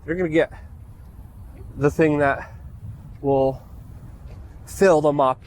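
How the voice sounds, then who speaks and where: A man's voice: a little high, steady tone, slow, a little mellow, a little hiss or hum. A man born and raised in Poland, delivering an indignant, sarcastic ranting monologue as a political commentator.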